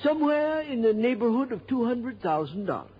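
An actor playing a cultured, earnest art curator in an old radio drama, speaking in a deliberate, precise way. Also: male voice, very high voice, very animated, slightly rough, heavily acted. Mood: impressed, deliberate. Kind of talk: mid-century radio acting. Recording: dull old radio recording.

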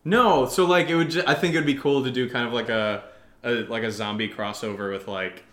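A man co-hosting a casual chat, excitable and goofy, jumping in with tangents. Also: medium pitch, a little fast. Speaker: podcast co-host. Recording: roomy, echoey indoor sound.

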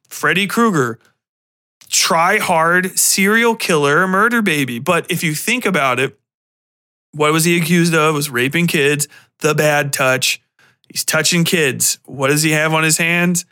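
A man with a slightly high, forceful voice talks as a podcast host. He delivers casual film commentary as an animated, opinionated rant. His language is slangy and full of swearing. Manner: profane, slangy.

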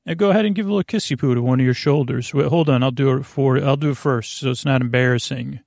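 A man hosting a bedtime podcast with a creaky, gravelly voice, meandering and halting in a goofy, self-deprecating monologue that keeps going off topic. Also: mid-range voice, a little mellow, no room sound, studio quality. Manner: meandering, halting, goes off topic mid-sentence. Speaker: podcast host.

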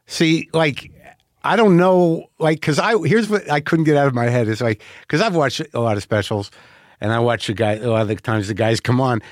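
A man's voice: mid-range voice, normal speed, no room sound, studio quality. A middle-aged man hosting a podcast, talking frankly in a rambling, restarting way. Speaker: podcast host. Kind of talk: casual interview chat.

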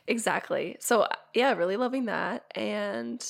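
A young woman co-hosting a podcast in casual chat, sounding congested and stuffy as she gets over an illness, rambling with lots of fillers and quick laughs. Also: medium pitch, animated, vocal fry. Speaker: podcast co-host.

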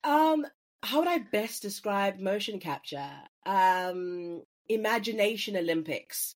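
An actor from Africa, chatting casually as an interview guest in a playful, animated way. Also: medium pitch, takes it slowly. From South Africa.

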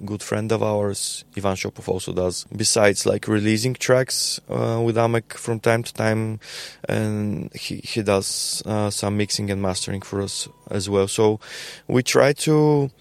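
Gravelly man with a Bulgarian accent. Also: lowish voice, steady tone, no echo. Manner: halting, repeats words, many fillers. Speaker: podcast guest, label co-founder. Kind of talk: casual conversational interview.

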